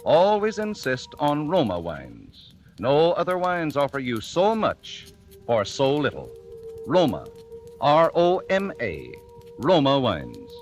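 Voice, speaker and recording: An American man reading a 1940s radio sponsor advertisement with polished, measured salesmanship. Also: a little high, wildly swooping pitch, lo-fi sound. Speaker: sponsor announcer. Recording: vintage 1940s radio broadcast with music.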